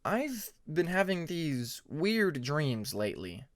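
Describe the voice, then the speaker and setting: A man's voice: a little high, sing-song, slow pace. A man voicing a mild-mannered young male dragon who speaks earnestly and a little hesitantly.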